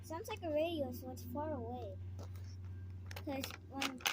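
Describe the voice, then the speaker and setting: High child's voice under loud noise. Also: unhurried. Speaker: companion in amateur video. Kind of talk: casual chat. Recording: very noisy amateur recording with clicks.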